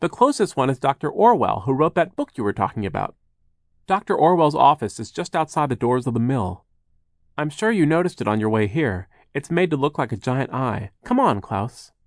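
A man's voice: mid-range voice, sing-song, moderate pace, dry recording, clean studio sound, voice pushed up. A man voicing a kindly, timid mill partner in an audiobook, polite and anxious to please. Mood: concerned, helpful.

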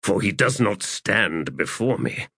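Male narrator voicing a grave officer, slow and blunt, bright tone. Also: mid-range voice, big pitch swings, no echo, pitched above the performer's own voice, full character voice.

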